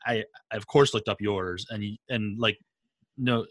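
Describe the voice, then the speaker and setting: A man's voice: fairly low pitch, a little slow, dry recording. A man hosting a podcast in a conversational, enthusiastic and emphatic way. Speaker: podcast host and interviewer.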